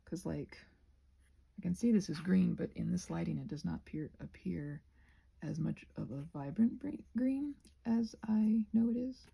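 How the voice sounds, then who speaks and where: A soft-spoken woman with a breathy voice that often nears a whisper, rambling casually and self-deprecatingly to herself as she crafts. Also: on the low side, lively intonation, moderate pace, muffled recording. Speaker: craft and ASMR video creator.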